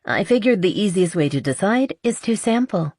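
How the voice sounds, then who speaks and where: A woman's voice: mid-range voice, lively intonation, normal speed, a little mellow, dry recording, clean studio sound, pitched above the performer's own voice, close to an everyday voice. A woman voicing a breezy, confident young female bartender in a romance audiobook, with a casual manner.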